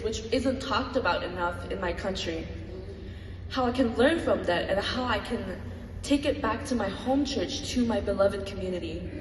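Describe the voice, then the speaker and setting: A woman's voice: medium pitch, a little hiss or hum. A young woman, giving an earnest, reflective personal talk to an audience. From Malaysia. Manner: earnest, reflective, with light laughs. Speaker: student speaker. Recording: echoey hall from far off.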